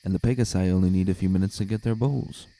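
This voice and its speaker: A man voicing a brisk, snippy pegasus cult officer with a clipped manner in a storybook reading. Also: sits low, fairly even intonation, warm tone.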